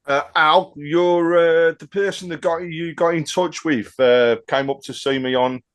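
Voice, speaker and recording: A man takes part in casual football podcast chat. He has a voice a bit higher than average for a man. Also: fairly even intonation, measured pace, a bit bright.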